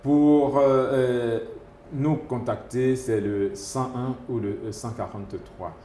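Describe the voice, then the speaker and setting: A man's voice: medium pitch, steady tone, slow pace, warm tone, a little hiss or hum. A man, a doctor answering interview questions, hesitant, with many fillers and restarts. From Côte d'Ivoire. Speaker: epidemiologist doctor. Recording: echoey room with faint ambience.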